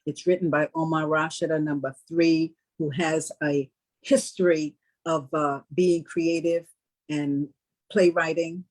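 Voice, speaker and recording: An older woman speaking as an interviewed actress in a casual panel conversation, laying out her points in a measured, affirming way. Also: sits low, steady tone, a little mellow. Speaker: stage actress interviewed about a play.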